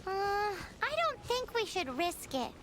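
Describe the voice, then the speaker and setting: Squeaky, excitable little fairy companion. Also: female voice, measured pace, very noisy, full character voice. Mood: alarmed. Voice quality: squeaky, childlike. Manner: exclaiming, refers to self in third person. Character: tiny childlike floating fairy companion, excitable, whiny, self-referring. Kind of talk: video game character acting.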